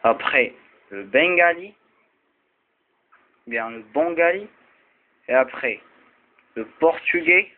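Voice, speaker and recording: A man whose first language is Arabic explains a topic slowly and haltingly, stopping often to restart his sentences. The audio is thin and tinny, like a phone line, with a faint hiss of noise behind him. His voice sits at an ordinary middle pitch. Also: expressive. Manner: hesitant, halting, with frequent restarts and fillers. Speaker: video presenter. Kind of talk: casual explanatory video talk.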